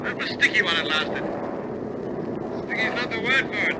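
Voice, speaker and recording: A man's voice: high voice, expressive, normal speed. A man playing an RAF bomber crewman in an old-style radio drama, speaking in a clipped, urgent, stoic military way. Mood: tense, stoic. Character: male RAF bomber crewmen in tense wartime flight. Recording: noisy old recording, loud aircraft engine drone. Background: aircraft engine roar.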